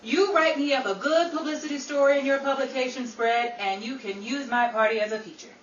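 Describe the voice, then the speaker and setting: A woman's voice: high-pitched, fairly quick, lightly acted. A woman playing a vain, wisecracking Hollywood actress in an old-time radio comedy style, theatrical and sassy. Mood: wry. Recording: roomy, distant, dull sound with faint noise.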